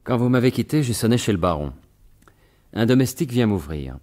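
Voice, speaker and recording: An actor playing a confident, wry gentleman thief turned investigator in a French radio mystery in 1950s style, speaking in assured, formal, articulate phrases. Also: mid-range voice, lively intonation, fairly quick, a little hiss or hum, lightly acted. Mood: composed narration.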